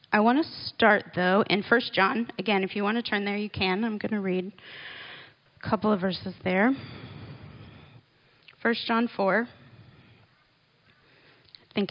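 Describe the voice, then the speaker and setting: A woman giving a relaxed, conversational Bible teaching, with small laughs and asides as she explains scripture. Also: medium pitch, dull audio. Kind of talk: relaxed church teaching talk.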